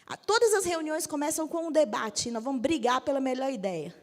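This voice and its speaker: A woman in her fifties with a Brazilian Portuguese accent, a bishop giving a lively church teaching talk and joking with the audience. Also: sits high, brisk, long reverb tail. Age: 50s. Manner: lively, jokes with the audience, asks for agreement.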